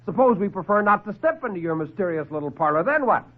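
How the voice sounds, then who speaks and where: A man's voice: sits high, expressive, fast talker, voice pushed up. A man playing a tough, hot-headed lieutenant with a blunt, slangy, challenging way of talking in classic radio thriller style. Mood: defiant. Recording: muffled old radio broadcast recording.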